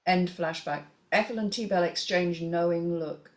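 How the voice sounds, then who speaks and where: A woman reads a screenplay aloud as its narrator. Her voice is on the low side, and she delivers scene headings and action lines in a scripted storytelling style. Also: measured pace. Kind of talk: scripted narration, reading stage directions.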